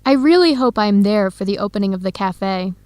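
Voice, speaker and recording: A woman playing an earnest, heartbroken young American researcher in a radio drama, speaking clearly and conversationally. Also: medium pitch, animated, a little fast, a little mellow, no echo, natural voice. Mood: pensive. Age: young adult. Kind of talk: scripted radio drama dialogue.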